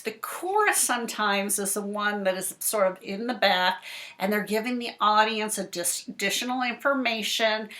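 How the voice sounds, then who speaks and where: A woman speaks as a school librarian to young children. Her delivery is unhurried and explanatory, with pauses and stressed key words, in a bright, forceful medium-pitched voice. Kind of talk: read-aloud talk for young children.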